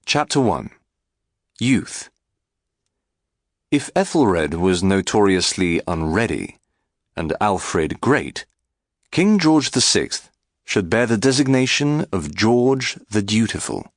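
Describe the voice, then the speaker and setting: A man reading a scripted biography as an audiobook narrator, in a measured, articulate and formal way. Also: lowish voice, big pitch swings, no room sound, studio quality.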